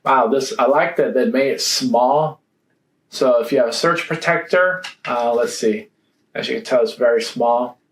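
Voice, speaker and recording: A man giving a casual, hands-on product review, thinking aloud in a halting way. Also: medium pitch, a bit bright. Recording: roomy echo, faint background noise.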